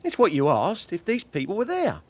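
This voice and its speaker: An actor playing a guarded small-time police informant who haggles for money in a British radio crime drama. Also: male voice, high voice, huge pitch swings, normal speed, exaggerated voice. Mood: cagey, haggling. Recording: clear background ambience noise.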